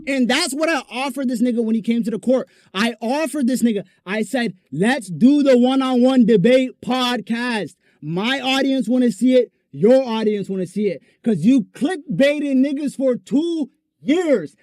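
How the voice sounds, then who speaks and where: Young guy swearing and mocking, high voice jumping all over. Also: lively intonation, unhurried, few pauses, a little mellow, no echo. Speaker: streamer clip being reacted to. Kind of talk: casual profane rant.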